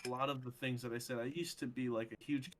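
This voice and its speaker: A man's voice: medium pitch, steady tone, fast talker, fairly bright tone, slight background noise. Earnest young man rambling. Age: 20s. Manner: rambling, earnest, many fillers. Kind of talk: casual chat on a live stream.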